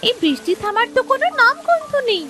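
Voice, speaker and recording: A woman puts on a little village girl for a cartoon. The voice is extremely high, animated and childlike, coaxing and a bit worried, over clear background music. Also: fast. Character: eager, worried young village daughter. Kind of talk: Bengali cartoon dubbing.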